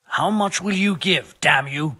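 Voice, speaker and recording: A man's voice: on the high side, unhurried, pushed and bright. A man playing a blunt, stubborn, money-minded medieval builder in a video game, haggling grudgingly. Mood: irritated, blunt. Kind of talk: video game cutscene acting.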